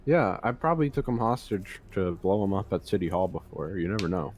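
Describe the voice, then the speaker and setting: A man's voice: sits low, animated, fairly quick. A man playing a boastful, grumbling jailed terrorist in improvised online roleplay. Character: boastful, grumbling jailed male terrorist, self-declared cool.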